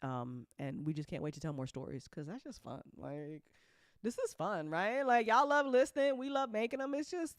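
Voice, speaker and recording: A woman hosting a casual livestream chat as an audio drama creator, animated and joking, with informal, sweary talk full of y'all. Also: a little high, no echo, studio quality. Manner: informal speech with frequent y'all. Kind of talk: casual livestream chat answering audience questions.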